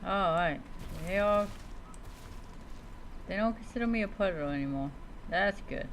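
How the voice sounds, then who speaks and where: A video game streamer giving casual, rambling commentary, as if thinking out loud while playing. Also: mid-range voice, moderate pace. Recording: noisy, steady background ambience.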